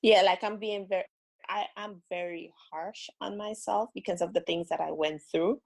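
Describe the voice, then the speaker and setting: A woman with a Caribbean accent calls in and talks in a halting, earnest way, full of restarts. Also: mid-range voice, steady tone, vocal fry, a little mellow. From the Caribbean islands. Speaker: caller. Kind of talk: casual call-in conversation.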